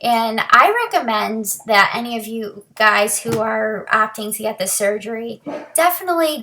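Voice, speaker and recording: A young woman speaks straight to her camera in a casual, candid way, pausing and restarting often. Her voice sits a little high and has a bright, tense edge. It is raspy and dry from a breathing tube used in recent surgery. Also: low-quality recording. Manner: candid, frequent ums and restarts. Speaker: vlogger sharing her surgery recovery.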